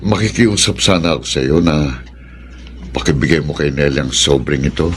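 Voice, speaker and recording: A man's voice: a bass voice, normal speed, slight background noise. An actor playing a remorseful, lovelorn man in a Filipino radio drama, solemn, earnest and resigned.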